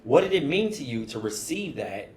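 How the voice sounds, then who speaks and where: Guy hosting an interview, average pitch, laid-back chatty questions, slight hiss behind. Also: sing-song, normal speed, a little mellow. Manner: casual, conversational, friendly asides.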